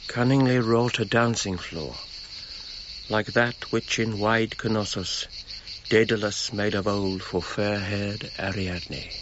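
An actor playing an authoritative, sceptical English gentleman archaeologist in a radio drama, with an English accent. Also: fairly low pitch. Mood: quiet, curious. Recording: dull radio recording, clear background noise.

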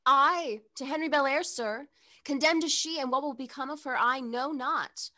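A woman acts a pert companion to a young lady in a period comedy. She is knowing and outspoken, in a high voice. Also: normal speed, pushed and bright. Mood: dramatic, pleading. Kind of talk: period stage comedy read aloud.

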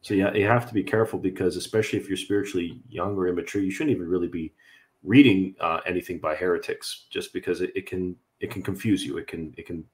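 Deep-voiced young Canadian priest on a livestream. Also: male voice, steady tone, fast. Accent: Canadian English. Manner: earnest, explanatory, with frequent fillers and light laughs. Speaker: Orthodox priest hosting a livestream. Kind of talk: livestream conversation answering viewer questions. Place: home room with bookshelves. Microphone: video call webcam.

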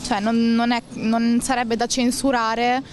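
A young woman answers a street interview on a town sidewalk, speaking into a handheld mic with a fairly high voice. She hesitates and restarts her phrases. Traffic noise runs clearly behind her. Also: flat delivery, measured pace. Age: young adult. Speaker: passer-by interviewee. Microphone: handheld mic held by interviewer.

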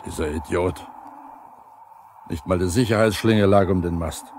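A man's voice: deep voice, measured pace, a little mellow. An actor playing a proud, easily offended veteran foreman, grumbling and blustering in colloquial speech in a 1970s radio drama. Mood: indignant, grumbling. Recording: faintly noisy 1970s vinyl radio play.

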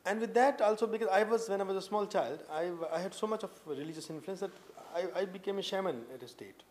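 A man in his late thirties from India, with an Indian accent, answering interview questions earnestly with many halting fillers and restarts. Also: high voice, darkish tone. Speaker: poet guest. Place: indoor room with tapestries and a sofa.

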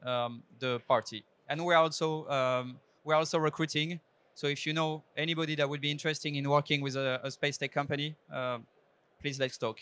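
A man, a startup CEO giving an earnest pitch on a trade show stage into a handheld mic, with fillers and restarts. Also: a bit bright, smooth, dry recording. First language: French. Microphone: handheld microphone.

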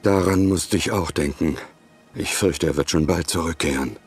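A man's voice: sits low, moderate pace, faint music behind. A man playing a grave, wary fighter in a fantasy game, brooding as he talks. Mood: grave, uneasy. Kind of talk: dubbed fantasy game cutscene acting.

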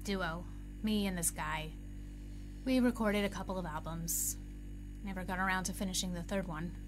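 A woman's voice: on the low side, normal speed, over music, natural voice, poor audio. A woman playing a wistful young barista and lapsed musician in an audio drama, speaking conversationally and candidly. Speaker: actor. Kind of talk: naturalistic audio drama acting.

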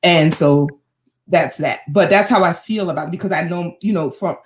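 A woman's voice: lowish voice, moderate pace, slight background noise. A woman panelist on a video call, speaking animatedly with punchy rhetorical questions and frequent laughter.

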